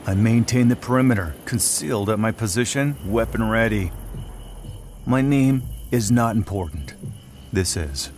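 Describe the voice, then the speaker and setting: An actor playing a cocky, cold-blooded sniper who narrates a motion comic in a terse, cynical way. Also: male voice, lowish voice, expressive, moderate pace, a little hiss or hum, lightly acted. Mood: cold, boastful. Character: hard-boiled Hydra sniper, cocky cold-blooded male killer. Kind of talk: first-person scripted narration of a motion comic. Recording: loud music under the voice.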